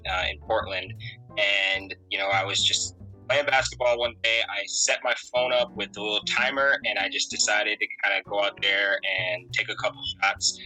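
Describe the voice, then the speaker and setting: Male guest, thirties, bright low voice, loose rambling storyteller, light music bed. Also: rough recording. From Connecticut, USA. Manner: relaxed, rambling, many fillers. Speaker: interview guest, musician and photographer. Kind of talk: casual conversational storytelling.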